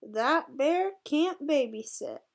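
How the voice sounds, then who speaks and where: Woman as high-voiced, excitable little storybook bunny. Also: takes it slowly, exaggerated voice. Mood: whiny, miserable. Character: little bunny children, cheeky, whiny and excitable, in a picture book.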